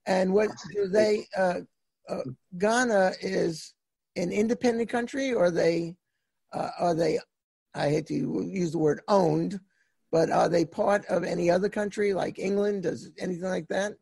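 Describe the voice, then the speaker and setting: A man's voice: high-pitched, measured pace, vocal fry, darkish tone, breathy edge, dry recording. A man hosting a podcast interview, asking folksy questions with frequent restarts and fillers. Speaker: podcast host.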